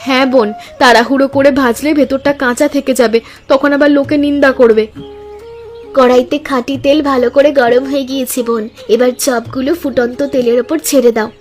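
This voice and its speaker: A woman's voice: high-pitched, steady tone, brisk, darkish tone, soft background music, close to an everyday voice. A woman voicing an earnest, sisterly, hopeful village housewife in a scripted cartoon story. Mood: earnest, eager. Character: hardworking, hopeful village housewives starting a food business. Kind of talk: scripted animated story dialogue.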